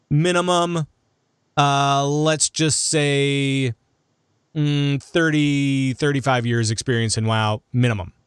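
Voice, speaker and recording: A man's voice: on the high side, a bit bright, clean tone, no room sound, studio quality. A man hosting a casual discussion about gaming in a conversational way. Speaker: podcast host.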